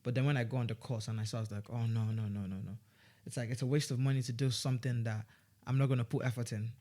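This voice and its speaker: A young man with a Nigerian accent talks casually as a guest on a studio podcast. He rambles and restarts his sentences, in a mid-pitched voice. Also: fairly even intonation, fast, mellow. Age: young adult. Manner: rambling, many restarts and fillers. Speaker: student guest.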